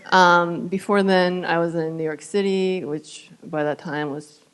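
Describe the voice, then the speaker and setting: A woman's voice: on the low side, steady tone, measured pace, clean tone. A woman from Japan giving casual answers on a panel, searching for her words in a hesitant way. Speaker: composer, panelist. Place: live event venue with audience.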